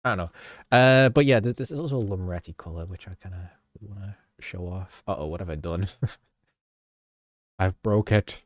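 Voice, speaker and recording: Stuffy low-voiced man rambling casually. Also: moderate pace, no room sound, studio quality. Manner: rambling, self-interrupting, chuckling often. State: stuffy, a bit sick. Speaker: video creator explaining his edits. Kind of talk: rambling behind-the-scenes commentary.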